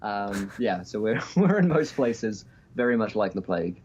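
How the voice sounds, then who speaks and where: A man's voice: lowish voice, big pitch swings, normal speed, crowd noise. A young man chatting casually as an interview guest calling in remotely, on dull lo-fi audio. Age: young adult. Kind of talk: casual chat.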